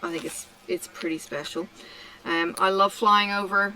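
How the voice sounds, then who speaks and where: A middle-aged woman from Tasmania chatting casually while she crafts, answering questions slowly with many ums and pauses. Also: medium pitch, steady tone, sharp tone, rough recording. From Australia (Tasmania). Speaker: craft vlogger. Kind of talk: relaxed chat while crafting.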